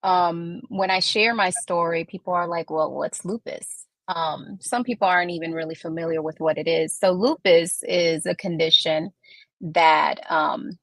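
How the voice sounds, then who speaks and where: A woman from Puerto Rico, a guest on a podcast, answering questions in a measured, explanatory way. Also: fairly low pitch, fairly even intonation, a bit bright, no room sound. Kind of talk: conversational interview answers.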